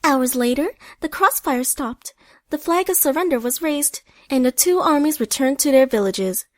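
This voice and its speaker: A woman's voice: high-pitched, expressive, normal speed, no echo. A woman reading scripted narration for a radio play.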